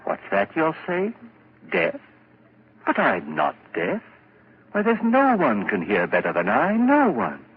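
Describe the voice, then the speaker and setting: An actor playing an agitated, guilt-ridden madman in 1940s radio horror, halting and repeating himself. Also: on the high side, huge pitch swings, full character voice. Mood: insistent. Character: agitated, guilt-ridden madman who hears too much. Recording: dull, noisy old radio recording.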